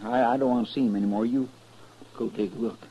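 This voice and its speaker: Man acting a loyal deputy sidekick, homespun drawl, deferential, excitable, medium pitch, slightly noisy radio western. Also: quick pace, highs cut off, close to an everyday voice. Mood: shaken. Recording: noisy old-time radio recording.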